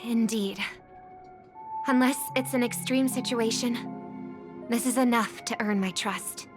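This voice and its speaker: An actress playing a polite, earnest, concerned young heroine in a video game dub. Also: female voice, on the high side, steady tone, unhurried, music in the background, lightly acted.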